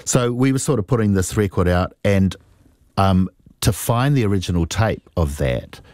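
A middle-aged man with a New Zealand accent, a musician telling stories in an interview, unhurried and reflective with many pauses. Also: low-pitched, lively intonation, a little mellow, no echo, clean studio sound. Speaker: musician guest. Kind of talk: relaxed storytelling interview.

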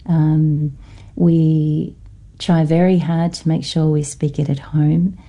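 A woman from Norfolk Island with an Australian-influenced accent, a guest academic in a radio interview, speaking thoughtfully with many pauses and fillers. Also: deep voice, little pitch movement, dark tone, muffled recording.

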